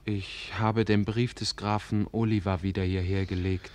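Loyal, worried secretary, played by a male actor: lowish voice, respectful stage diction, dry 1940s radio drama sound. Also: flat delivery, moderate pace, a little mellow, no room sound, lightly acted. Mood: dutiful. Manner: respectful, earnest. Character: deferential, anxious, loyal secretary to a nobleman. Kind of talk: 1940s German radio play acting, theatrical stage diction.

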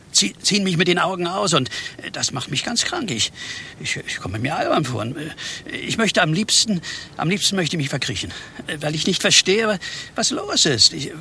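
An actor in a radio play playing an insecure, flustered office programmer who stammers, halts and clears his throat. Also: fairly high pitch, bright, forceful tone, slight background noise, heavily acted.

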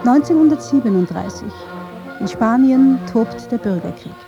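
A woman's voice: a little high, lively intonation, normal speed, lo-fi sound, music in the background. A woman reading scripted narration for a radio documentary.

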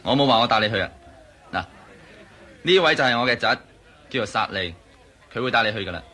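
A man's voice: mid-range voice, lively intonation, fast, pushed and bright. A man playing a talkative, sly Egyptian hotel waiter in a radio drama, wordy and self-justifying. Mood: businesslike. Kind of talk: Cantonese radio drama acting.

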